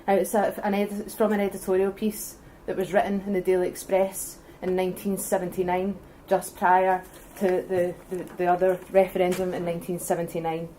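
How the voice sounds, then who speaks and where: A young woman with a Scottish accent makes her case at a public debate, earnest and forceful as she builds her argument point by point. Her voice is warm and mellow, with a faint hiss of noise in the background. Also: fairly low pitch, monotone, a little fast, few pauses, poor audio. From Scotland. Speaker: debate speaker for independence.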